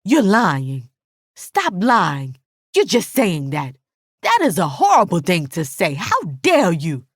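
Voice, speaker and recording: A woman's voice: fairly low pitch, wildly swooping pitch, slow pace, breathy edge, dry recording, clean studio sound, heavily acted. A woman playing a guilty woman in a dramatic audiobook, imploring and placating her friend. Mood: distressed. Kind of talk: dramatic audiobook character dialogue.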